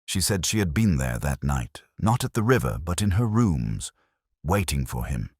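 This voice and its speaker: A man with an extremely low voice narrates a detective story in the first person. His delivery is measured, solemn and literary, with lively rises and falls in pitch. The sound is dry and close, with very little room on it. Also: husky, no echo. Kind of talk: scripted first-person audio drama narration.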